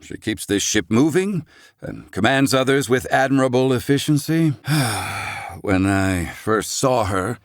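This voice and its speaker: An actor playing a proud, gruff, excitable older scholar-inventor in a video game, speaking in formal, archaic, theatrical phrasing. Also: male voice, mid-range voice, unhurried, no room sound, studio quality, close to an everyday voice. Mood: reflective. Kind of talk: video game character acting.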